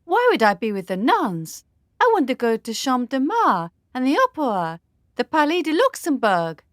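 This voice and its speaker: A woman's voice: sits high, wildly swooping pitch, normal speed, dry recording, clean studio sound. A young woman playing a lord's dreamy, pleading daughter in a period radio drama, earnest and formal. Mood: indignant.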